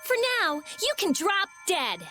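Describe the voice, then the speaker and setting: A woman voicing a prickly, sharp-tongued teenage girl in a video game, with snappy, sarcastic delivery. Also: very high-pitched, sing-song, a little slow, faint music behind, heavily acted. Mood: scolding.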